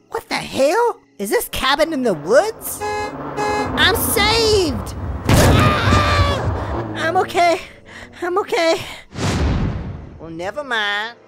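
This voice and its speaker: A woman's voice: very high-pitched, wildly swooping pitch, slow, over loud music, exaggerated voice. A woman playing a snippy, conceited, easily panicked young woman in an exaggerated slasher spoof cartoon. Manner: exasperated, melodramatic. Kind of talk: exaggerated comedy cartoon acting.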